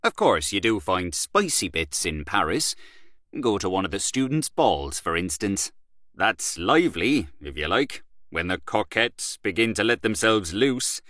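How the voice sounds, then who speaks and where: A man voicing a boastful, worldly Irish male journalist who talks loud and swaggering. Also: lowish voice, big pitch swings, measured pace, no room sound, studio quality.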